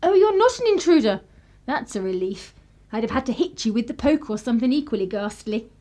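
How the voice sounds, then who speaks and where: An actress plays a spirited young upper-class Englishwoman of the 1930s in a radio drama. Her voice sits high and moves about in lively swings. She is arch and sardonic, with clipped period phrasing. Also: fairly quick. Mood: breezy, teasing. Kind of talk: period radio play acting, narration and dialogue.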